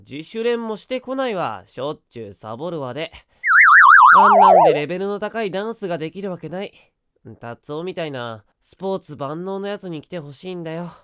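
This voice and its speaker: A performer playing an energetic, teasing teenage schoolboy in an anime-style voice drama, with boyish, slangy speech. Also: mid-range voice, clear, resonant tone, dry recording, clean studio sound. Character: energetic, upbeat teenage schoolboy, passionate dancer.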